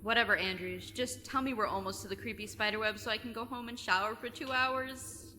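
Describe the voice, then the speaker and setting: Woman acting as sardonic teen girl sidekick, bright forceful voice, nagging, exasperated, reverberant sound. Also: a little high, fairly even intonation, fairly quick, a little hiss or hum. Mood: grumpy, dry. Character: sarcastic, exasperated, sceptical teenage girl best friend. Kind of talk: audio drama dialogue.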